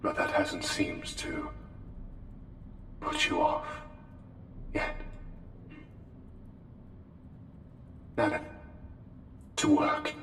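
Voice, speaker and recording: In a reverberant hall with music behind, a man voices a courtly, intense male plague doctor, something not quite human. He speaks low and very slowly in formal, old-fashioned phrases with careful pauses, as intimate ASMR roleplay. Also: huge pitch swings, exaggerated voice. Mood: slyly menacing. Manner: formal, archaic wording, hesitant pauses, deliberate.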